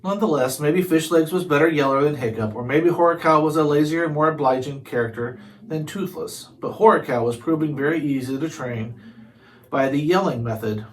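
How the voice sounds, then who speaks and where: A man's voice: fairly high pitch, fairly quick, low-quality recording. Man reading a children's book aloud. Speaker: storybook reader. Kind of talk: read-aloud narration of a children's chapter book.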